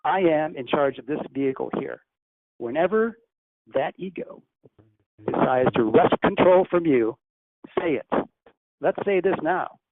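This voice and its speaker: A man speaking as a channeled spirit teacher, guiding a breathing meditation in a slow, deliberate, instructive way. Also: on the high side, lively intonation. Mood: commanding. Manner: slow, deliberate, instructive, softly hushed in exercises. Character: ascended master spirit, calm, commanding teacher. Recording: tinny phone line, faint hiss.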